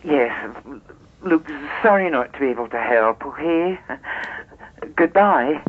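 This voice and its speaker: An actress in a radio drama playing an anxious, apologetic wife, speaking hesitantly down a telephone. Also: female voice, low voice, expressive, slow, full character voice. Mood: worried, apologetic. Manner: hesitant, distracted. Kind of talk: naturalistic radio drama acting. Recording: dull telephone sound.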